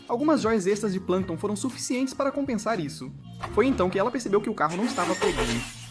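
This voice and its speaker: Brazilian narrator reading a scripted cartoon recap, middle pitch, music playing behind. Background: background music.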